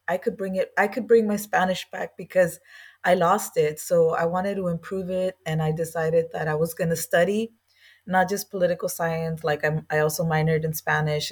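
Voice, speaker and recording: A woman's voice: lowish voice, a little mellow. A woman from East Los Angeles, a guest on an interview podcast, telling her story in an earnest, reflective and loosely chatty way. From East Los Angeles, USA. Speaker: guest, language school founder.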